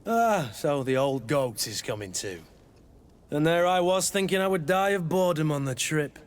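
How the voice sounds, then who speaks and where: Man playing a higher-pitched, wry mercenary mentor. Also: expressive, moderate pace, natural voice. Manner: wry, blunt, bantering. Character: gruff, teasing mercenary master-at-arms, loyal fighting mentor. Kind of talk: video game character acting.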